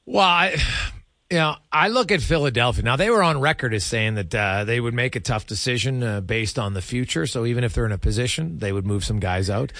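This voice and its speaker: A man's voice: medium pitch, lively intonation, a little fast, a bit bright, dry recording, studio quality. A male sports radio host giving emphatic, opinionated hockey commentary in short, punchy sentences.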